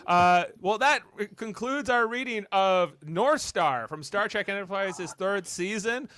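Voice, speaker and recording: A man's voice: sits high, lively intonation, a little slow, a bit bright, dry recording. A man hosting a podcast in casual chat, rambling and hesitant, with a joking way of talking. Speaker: podcast host.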